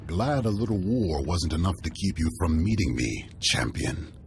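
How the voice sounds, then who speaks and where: Hearty warlord leader, extremely low voice, animated, authoritative, video game, faint music. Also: male voice, normal speed. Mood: hearty welcome. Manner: blunt, authoritative. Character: gruff, commanding male warlord who respects fighters, hearty and blunt.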